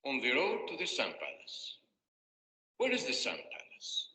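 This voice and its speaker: A man's voice: mid-range voice, wildly swooping pitch, a little slow, pushed and bright. A man narrating a scripted fairy tale as a storyteller, with a dramatic storybook delivery. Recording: echoey reverberant playback with music. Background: music.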